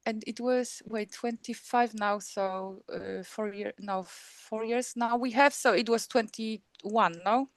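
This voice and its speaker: A woman with a Polish accent, talking as a guest about running a film festival, halting with many restarts and small laughs. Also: on the high side, steady tone, no echo. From Poland. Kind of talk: casual interview chat.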